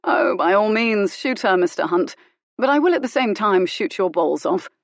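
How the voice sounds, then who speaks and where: A woman voicing a gentleman hero who threatens with glacial politeness. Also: mid-range voice, expressive, normal speed, no room sound, clean studio sound, natural voice. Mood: icy menace. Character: cold, menacing young gentleman hero, icily polite.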